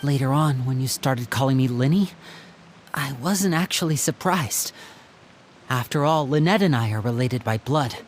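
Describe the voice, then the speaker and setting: A man voicing a sharp, protective teenage older brother in a video game, controlled and questioning, over music. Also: mid-range voice, animated, normal speed, slight background noise, natural voice. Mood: earnest. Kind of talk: English video game voice acting. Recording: faint music behind the voice.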